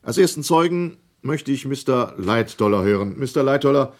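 Actor as solemn senator chairing an inquiry. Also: male voice, mid-range voice, animated, close to an everyday voice. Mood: solemn, official. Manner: solemn, measured, official, reading out formally. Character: formal American senator chairing a 1912 inquiry. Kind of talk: documentary radio play acting.

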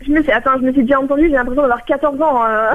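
A woman's voice: high-pitched, rapid-fire, phone-quality sound, a crowd in the background. Hesitant young-sounding woman on radio. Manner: hesitant, many fillers, giggly. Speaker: departing social media helper of the show. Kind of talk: casual on-air chat. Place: radio studio.

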